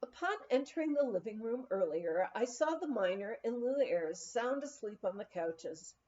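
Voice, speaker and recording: Woman reading an audiobook memoir, soft mellow tone, really animated pitch, kinda dull lo-fi sound. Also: moderate pace. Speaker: audiobook reader. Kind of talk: expressive memoir reading, storytelling.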